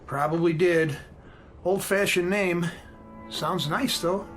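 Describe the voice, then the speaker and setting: An easygoing American train conductor in a radio drama. His voice sits high for a man, and he talks in short folksy phrases over faint music. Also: slow, fairly bright tone. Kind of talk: modern radio drama acting.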